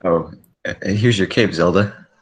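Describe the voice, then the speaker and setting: Low male actor as a hesitant, heartsick clown, 1940s radio drama. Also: measured pace. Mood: timid. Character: lovesick, hangdog male circus clown nursing bitterness.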